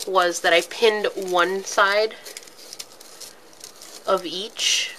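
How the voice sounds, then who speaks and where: A woman with a head cold talks through a home craft tutorial, her congested voice still bright and clear in tone. She rambles casually with frequent ums as she works with her hands. A faint hiss sits under the home recording. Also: on the low side, low-quality recording. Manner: chatty, lots of ums, talks while working with her hands. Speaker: craft video host.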